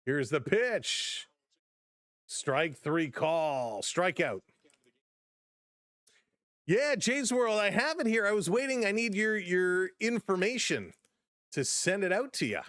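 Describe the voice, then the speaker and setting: Chatty slightly hoarse man calling baseball. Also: high-pitched, animated, takes it slowly, pauses often, fairly bright tone, no echo, clean studio sound. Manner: chatty, upbeat, greets viewers by name. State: slightly hoarse, losing his voice. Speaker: live-stream baseball host. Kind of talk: casual play-by-play with chat reactions.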